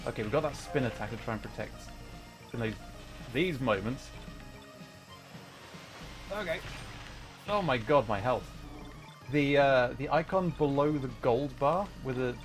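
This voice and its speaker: A man livestreaming a video game, chatting casually with viewers and explaining the play in an excitable way. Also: medium pitch, measured pace, long pauses. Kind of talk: casual live gaming commentary, chatting with viewers. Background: video game music.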